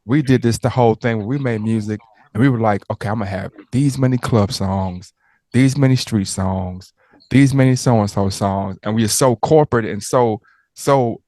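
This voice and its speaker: Rambling, joking man host with a low voice. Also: normal speed, mellow, dry recording. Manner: rambling, joking, prone to tangents and stammers. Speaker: interviewer and host. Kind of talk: casual interview chat.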